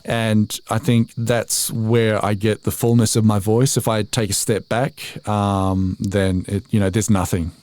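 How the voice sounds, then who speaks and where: A man's voice: on the low side, little pitch movement, measured pace, few pauses, a little mellow, dry recording. Chatty Australian man in his forties. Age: 40s. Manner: chatty, self-deprecating, frequent restarts and laughs. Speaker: voiceover artist, podcast guest. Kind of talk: casual interview chat.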